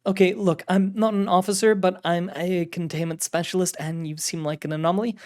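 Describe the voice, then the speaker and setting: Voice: medium pitch, level tone, fairly quick, a little mellow, voice pushed up, lightly acted. A narrator voicing a wary, businesslike woman who works as a containment agent in an audio story, direct and skeptical. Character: wary, businesslike female containment agent, exasperated but dutiful. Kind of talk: audio story character dialogue.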